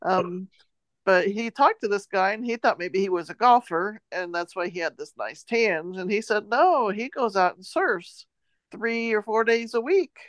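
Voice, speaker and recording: A woman's voice: medium pitch, measured pace, no room sound. An American woman co-hosting a podcast, casually retelling news in a loose, meandering way. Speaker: podcast co-host.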